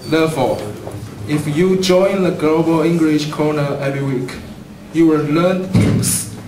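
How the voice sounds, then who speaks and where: A man with a Taiwanese accent co-hosts with careful, measured speech at an unhurried pace. His voice sits a touch high. He sounds distant in a roomy space, with a crowd audible behind him. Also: steady tone. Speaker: co-host of a student English corner. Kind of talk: scripted event hosting. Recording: distant, echoey room with crowd.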